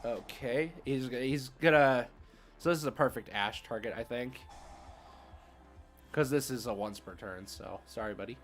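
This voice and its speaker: A man's voice: medium pitch, moderate pace, faint music behind. A man hosting a livestream and giving casual, slangy commentary on a card game as he thinks aloud.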